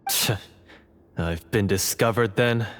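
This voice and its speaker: An actor playing a bitter, proud guard turned treacherous hunter in a fantasy game, scornful and theatrically declaiming. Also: male voice, lowish voice, slow, very noisy.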